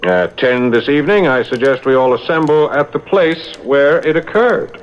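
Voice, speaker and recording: A male actor plays a shrewd lawyer who argues with insistent, rhetorical force. His low voice swings widely in pitch. It sounds like a 1970s radio drama, dull in tone with faint noise behind it. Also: expressive, normal speed, natural voice. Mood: probing, courtroom pleading.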